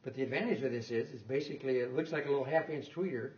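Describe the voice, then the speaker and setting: A man's voice: mid-range voice, very fast, darkish tone, lo-fi sound. An older man giving an informal technical talk in a lecture room, rambling and correcting himself with small chuckles. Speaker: guest lecturer on loudspeaker design.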